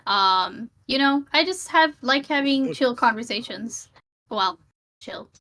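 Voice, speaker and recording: A woman's voice: fairly high pitch, lively intonation, takes it slowly, pushed and bright. A woman from Sri Lanka, a streamer, talking over a voice call in a casual debate, hesitant, with many fillers and restarts.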